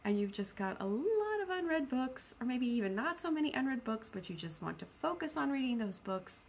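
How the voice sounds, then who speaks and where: A woman's voice: high-pitched, big pitch swings, brisk. Chatty, self-deprecating woman vlogger, noisy background. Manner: self-deprecating, playful, rambling. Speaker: book vlogger. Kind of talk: spontaneous casual chat.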